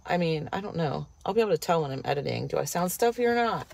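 A woman's voice: low voice, animated, fast, vocal fry, a little mellow. A woman in her fifties chatting casually to her camera from inside a car, rambling and laughing often, a little stuffy from a cold. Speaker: vlogger. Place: inside a parked and moving car. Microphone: phone camera on the dashboard. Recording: car noise audible behind voice.

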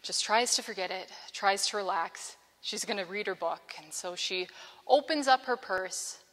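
A woman's voice: medium pitch, a bit bright. Young woman preaching in a reverberant church. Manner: earnest, measured preaching with storytelling asides. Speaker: vicar. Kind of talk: sermon. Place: large church sanctuary, indoors. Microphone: lapel mic.

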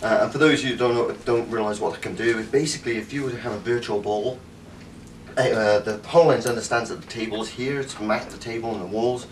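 A man's voice: mid-range voice, fairly even intonation, fast talker. A man in his thirties with a Cardiff Welsh accent, casually reviewing a gadget at home, enthusiastic and rambling with many fillers. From Wales. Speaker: tech reviewer. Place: home living room. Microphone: camera mic from a few metres. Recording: distant camera mic, faint room noise.

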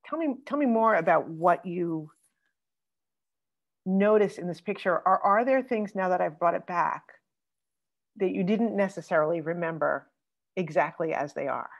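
Low gravelly woman leading a video call. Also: moderate pace, long pauses, dull audio. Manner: thoughtful, hesitant, with many fillers. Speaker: discussion moderator. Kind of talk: online group discussion. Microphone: computer mic on a video call.

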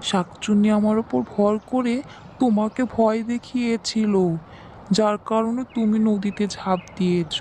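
A woman's voice: mid-range voice, fairly even intonation, a little slow, warm tone, a little hiss or hum, natural voice. A woman voicing a scheming, hot-tempered village man in a cartoon, blustering and sly. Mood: falsely reassuring.

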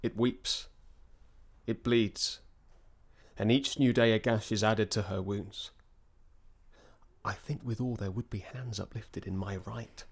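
An actor playing a brooding exiled prince with measured oratory in classical radio drama. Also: male voice, on the low side, fairly even intonation, slightly rough, exaggerated voice. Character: Malcolm, brooding exiled prince rallying men to revenge.

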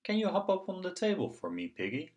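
A man voicing a friendly puppet doctor in a children's storybook, gentle and encouraging with a singsong delivery. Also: sits high, wildly swooping pitch, brisk, pitched above the performer's own voice. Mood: instructing.

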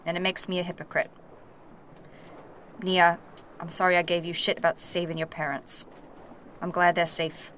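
Woman as low-voiced, guarded noblewoman. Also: flat delivery, moderate pace, pauses often, a bit bright, a little hiss or hum, natural voice. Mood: contrite. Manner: terse, blunt. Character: proud, guarded, blunt noblewoman, emotionally wounded.

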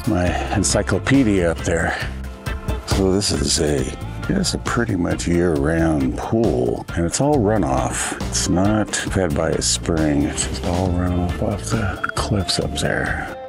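Older male travel vlogger, raspy and gravelly, rambling narration outdoors. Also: expressive, slow. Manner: low-energy, rambling, thinking aloud. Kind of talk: casual hiking narration. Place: outdoors on a desert trail. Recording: music bed under the voice.

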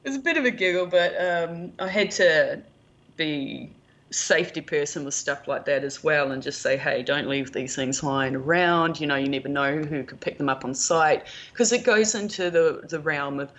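A woman's voice: deep voice, normal speed, a bit bright. A middle-aged woman with a New Zealand accent, chatting casually and telling anecdotes as an interview guest. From rural New Zealand. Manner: chatty, anecdotal, quotes conversations. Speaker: podcast guest, film producer and prop maker.

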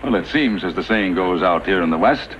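A man playing a gentle, dignified middle-aged reverend in a 1950s radio western, in a genteel, thoughtful manner. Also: sits low, animated, brisk. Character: gentle, dignified reverend, father of grown daughter. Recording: very noisy, dull 1950s radio recording.

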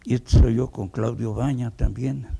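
An older man with a Mexican accent, reminiscing unhurriedly in a documentary interview, pausing as he tells his stories. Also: mid-range voice, fairly even intonation, vocal fry, warm tone, slightly rough. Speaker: veteran animator.

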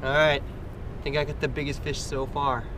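A man's voice: medium pitch, little pitch movement, moderate pace. A man filming outdoors on a riverbank, chatting casually and teasing his companion, recorded on an action camera. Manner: teasing, laughing banter. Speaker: angler filming his brother. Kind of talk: casual joking chat. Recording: outdoor camera audio with noise.

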